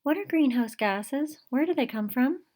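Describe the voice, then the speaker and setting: Mujer haciendo de niña científica curiosa, voz aguda. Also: female voice, sits high, expressive, normal speed, darkish tone, pitched above the performer's own voice. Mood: curious. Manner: inquisitive, earnest. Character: eager, determined, curious young girl scientist. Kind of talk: picture book character voice.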